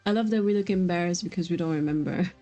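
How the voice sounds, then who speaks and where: A woman's voice: fairly low pitch, fast talker, warm tone. A woman streaming video games, chatting casually with viewers and reacting to the game. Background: faint game music.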